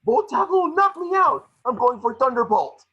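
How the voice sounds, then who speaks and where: A man voicing a small wisecracking Pikachu detective, excitable, sarcastic and fretful, in an amateur table reading. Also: very high voice, normal speed, dull audio, heavily acted. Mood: panicked.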